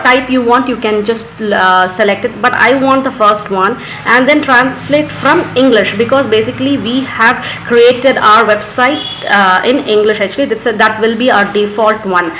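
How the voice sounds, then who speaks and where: A woman's voice: on the high side, fairly bright tone. An echoey, slightly muffled recording with faint noise carries a woman's tutorial narration. She has an Indian accent, with Urdu as her first language, and talks without a script, repeatedly saying "actually" as she explains each step. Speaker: tutorial host. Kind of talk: screen tutorial narration, unscripted.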